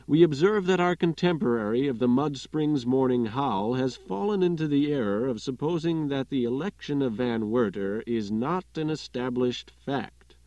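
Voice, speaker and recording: A man's voice: mid-range voice, darkish tone, dry recording, dull audio. A man reading a literary short story as an audiobook narrator, in scripted narration.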